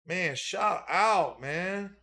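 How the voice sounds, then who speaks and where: A man's voice: high voice, expressive, drawn-out words, pushed and bright. A man hosting a casual livestream as a dog breeder, laid-back, reading out and thanking viewer donations, often repeating a catchphrase.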